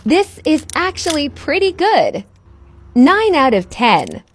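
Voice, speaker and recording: A woman's voice: high voice, very animated, takes it slowly, slight background noise. A woman giving short, simple commentary for ASMR videos as an unboxing and tasting presenter.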